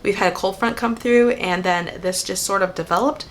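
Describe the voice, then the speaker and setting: A woman with a hoarse voice from a cold, chatting casually as a hair vlogger and reading product labels aloud in a methodical way. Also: medium pitch, moderate pace, a bit bright, a little hiss or hum. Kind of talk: casual product review while styling.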